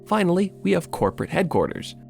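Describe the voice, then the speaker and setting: A man delivers scripted video essay narration with a very animated, rising and falling pitch. His manner is wry and informal, with dry casual asides. Faint music plays behind his voice. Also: fast talker, creaky.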